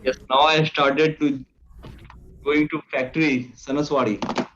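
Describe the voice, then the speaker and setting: A man's voice: a little high, level tone, measured pace, fairly bright tone. A man with an Indian accent chatting casually over a muffled, noisy video call. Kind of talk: casual chat.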